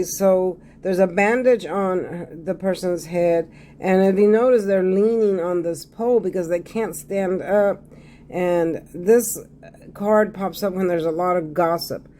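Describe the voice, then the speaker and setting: A hoarse man giving a casual tarot reading to his viewers, repeating phrases and often checking in with "okay?". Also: sits high, mellow, slight background noise. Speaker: tarot card reader.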